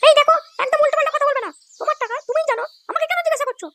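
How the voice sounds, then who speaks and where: Comic cartoon housewife: piercingly high, defiant, snapping quickly. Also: female voice, pushed and bright. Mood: indignant. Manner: snapping, indignant. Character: shrill defiant scolding housewife, short-tempered.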